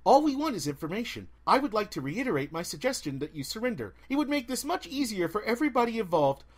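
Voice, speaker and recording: Man playing a higher-pitched, polite young woman. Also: sing-song, quick pace. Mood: reasonable. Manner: precise, courteous, reasoning. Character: polite, earnest, formal young woman adventurer.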